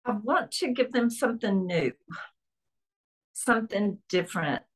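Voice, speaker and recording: A woman's voice: mid-range voice, lively intonation, measured pace. Southern woman with a Tennessee accent. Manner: chatty, friendly, with frequent restarts. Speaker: guest author and historian. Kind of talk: casual podcast interview.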